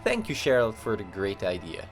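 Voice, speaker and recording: A man reading a scripted voiceover narration with lively storytelling delivery. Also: on the low side, moderate pace, over loud music.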